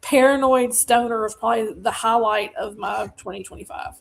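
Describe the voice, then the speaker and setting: A woman's voice: fairly high pitch, normal speed, vocal fry. A woman with a Southern US accent, casually chatting about movies on a podcast in a laid-back way. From Deep South of the US. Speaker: podcast co-host. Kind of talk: casual movie review chat.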